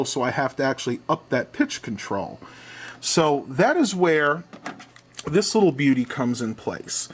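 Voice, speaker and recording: A man reviewing a product in a casual hands-on demonstration, explaining things methodically step by step. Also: medium pitch.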